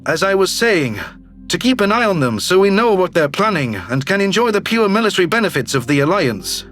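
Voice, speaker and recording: A man's voice: high voice, sing-song, fairly quick, a bit bright, soft background music, pitched below the performer's own voice. A man voicing a smug, calculating alien governor, slick and self-satisfied, in a theatrical audio drama style. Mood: smug, commanding. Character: smug, calculating male alien politician, newly elected governor. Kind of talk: scripted sci-fi audio drama dialogue.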